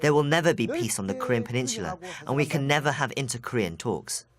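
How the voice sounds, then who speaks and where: A man's voice: medium pitch, animated, fast talker. A man giving his opinion in an interview answer. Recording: crowd noise behind the voice.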